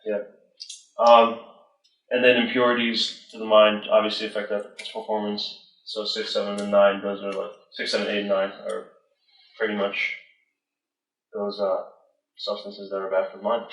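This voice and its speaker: Low flat-voiced man from Iran. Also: moderate pace, long pauses. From northern Iran. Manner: hesitant, many fillers like "like". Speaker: study group member reading and summarizing. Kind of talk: reading aloud then casual explanation. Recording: echoey room, mic far off.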